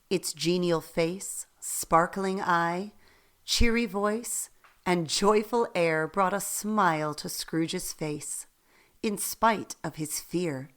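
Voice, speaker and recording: A woman narrating a radio play in an expressive, deliberate storytelling manner, with faint noise in the background. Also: on the low side.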